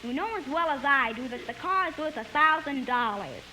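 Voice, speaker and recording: A man playing a vain, exasperated, tightfisted boss in a 1950s radio comedy, with drawn-out delivery. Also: extremely high pitch, lively intonation, sharp tone, exaggerated voice. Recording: hissy 1950s radio broadcast recording.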